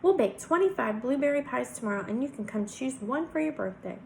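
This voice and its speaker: A woman voicing a kindly, soft-hearted cooking teacher with a soft Southern American drawl and a gushing manner. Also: high voice, lively intonation, brisk, vocal fry, a little hiss or hum, pitched above the performer's own voice. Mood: comforting.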